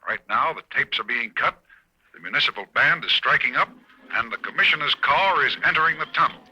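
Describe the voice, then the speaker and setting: A bright-voiced live-event radio announcer. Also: male voice, medium pitch, lively intonation, slightly rough, full character voice, low-quality recording. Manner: brisk announcer delivery, growing alarm. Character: excited helicopter radio announcer, male. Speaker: radio drama actor. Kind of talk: 1940s radio acting.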